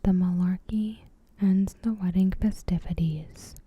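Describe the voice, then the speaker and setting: A woman doing ASMR in a hushed, breathy whisper, gently and unhurriedly reading a recap of a story. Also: on the low side, fairly even intonation, a little slow, dry recording, highs cut off. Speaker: ASMR creator and host. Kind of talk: soft-spoken ASMR, reading a TV episode recap.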